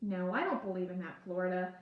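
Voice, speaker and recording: A woman's voice: on the low side, fast, pitched below the performer's own voice, natural voice. Woman voicing a tense, skeptical schoolboy, echoey room. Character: worried, skeptical schoolboy, the narrator's friend.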